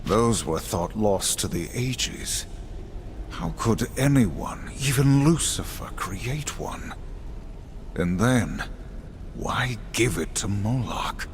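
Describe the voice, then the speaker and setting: An actor playing a stern, grim warrior horseman in a video game, speaking in a solemn, formal, measured way. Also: lowish voice, animated, a little hiss or hum. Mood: grave, wary. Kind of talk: video game cutscene acting. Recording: loud music behind the voice.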